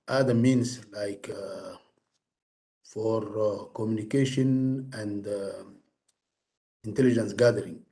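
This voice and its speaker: A man giving a formal conference presentation, speaking in a halting, deliberate way. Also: fairly low pitch, mellow.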